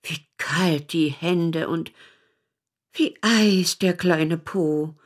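A woman playing a theatrical old grandmother, tender yet strict, speaking in a husky, incantatory way in a German radio play. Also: on the low side. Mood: tender, eerie. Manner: slow, incantatory, dramatic.

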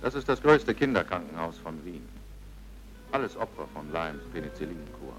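A pushy sardonic army cop in a radio drama, clipped orders, really animated delivery. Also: male voice, extremely low pitch, moderate pace, loud music behind the voice, poor audio. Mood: dry, persuasive. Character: brusque, pressing male military police officer, dryly sardonic.

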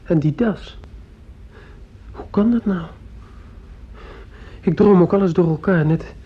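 A slow, husky, feverish teenage boy. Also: high-pitched, full character voice. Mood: frightened, confused. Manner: hesitant, groping for words. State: ill, sore throat. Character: feverish fifteen-year-old boy, earnest and dreamy. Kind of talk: radio play acting. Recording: dull lo-fi old radio recording.

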